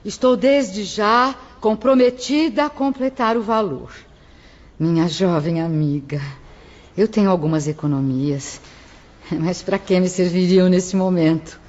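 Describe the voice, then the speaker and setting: Brief: actress as a generous elderly patient, gently ironic, dull recording. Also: female voice, fairly low pitch, measured pace, lightly acted. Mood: wry. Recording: dull lo-fi audio.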